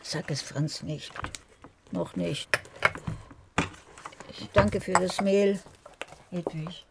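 A slow, husky middle-aged mother in a radio drama. She is grave, bitter and pleading, with gravel in her voice. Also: fairly low pitch, noisy background, full character voice.

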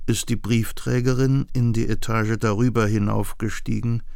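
A male narrator reads literary prose for a radio drama. His voice is low and gravelly, his delivery measured and deliberate, recorded very dry. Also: flat delivery, a little mellow, no room sound.

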